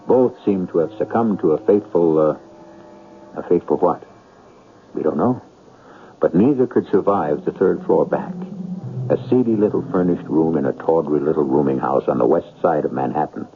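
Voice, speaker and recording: A man hosting a radio mystery show, narrating in an ominous, theatrical and deliberate style with suspense. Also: a bass voice, a little hiss or hum. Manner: deliberate, suspenseful, rhetorical questions. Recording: muffled old radio broadcast, faint music. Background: faint music.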